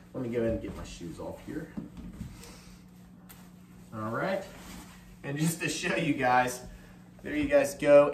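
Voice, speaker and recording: A man's voice: fairly high pitch, slight background noise. A young man in his twenties giving an upbeat, casual product review at home, with lots of fillers as he walks around demonstrating. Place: home kitchen, indoors. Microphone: camera mic from across the room. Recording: roomy kitchen, voice from a distance.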